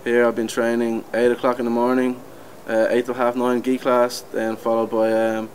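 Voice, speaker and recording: A man in his twenties with an Irish accent, calmly answering interview questions. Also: mid-range voice, normal speed, slight background noise, low-quality recording. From Ireland. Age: 20s.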